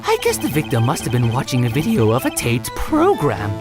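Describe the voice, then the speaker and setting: Game fan dub, shifty nervous witness: stammering, flustered, hugely animated pitch swings, music underneath. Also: male voice, a little fast. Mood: evasive. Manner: stammering, flustered, with sudden outbursts. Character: nervous, shifty male witness caught lying in court. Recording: music over the voice.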